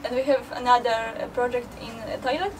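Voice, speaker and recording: A young woman with a Polish accent, searching haltingly for English words in a casual interview. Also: a little high. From Poland. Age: young adult. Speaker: artist and gallery co-runner being interviewed. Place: inside a small old caravan. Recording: slightly distant mic, faint ambient noise.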